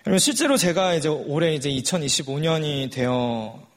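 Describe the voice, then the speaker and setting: A man's voice: fairly high pitch, lively intonation, normal speed. A forty-year-old man preaching a sermon in a conversational way, putting questions to his listeners. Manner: conversational, puts rhetorical questions to the congregation.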